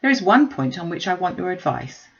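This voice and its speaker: A woman's voice: fairly low pitch, sing-song, fairly quick, creaky, dull audio. A woman voicing a witty, self-possessed young lady in a classic novel reading, wry, articulate and emphatic. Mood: serious, decided.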